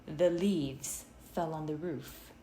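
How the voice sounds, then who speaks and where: A woman reading a children's story aloud with a deliberate, expressive cadence. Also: low voice. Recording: roomy, noticeable background noise.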